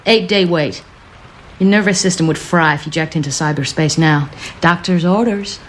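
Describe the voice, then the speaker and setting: An actress playing a tough, no-nonsense female mercenary in a radio drama, dry and brisk. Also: lowish voice, slight background noise, natural voice. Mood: dry, matter-of-fact. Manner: brisk, dry, hard-edged.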